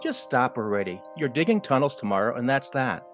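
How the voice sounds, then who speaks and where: A man's voice: mid-range voice, sing-song, brisk, fairly bright tone, soft background music. A man playing a skeptical, no-nonsense mentor mouse in an audio drama. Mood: skeptical, exasperated.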